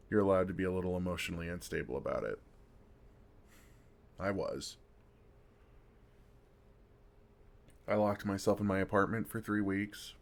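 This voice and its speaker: Male actor as older vampire, deep register, fry at the edges, kind, dryly funny, unhurried, close ASMR roleplay delivery. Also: steady tone. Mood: soothing, self-mocking. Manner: gentle, dry self-deprecating humor, unhurried pauses.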